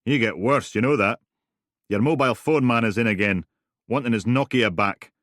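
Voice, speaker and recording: A man's voice: mid-range voice, normal speed, fairly bright tone, no room sound, studio quality, pitched above the performer's own voice, lightly acted. A man voicing a grumbling male desk officer who chides with a tut. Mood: chiding. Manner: tutting, chiding.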